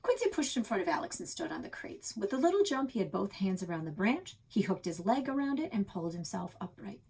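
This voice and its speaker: A woman narrates a children's story for a read-aloud. Her pitch moves in lively swings. The voice sits in a middle register with a slightly husky edge. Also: a little fast. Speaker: library read-aloud reader. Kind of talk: expressive children's book narration.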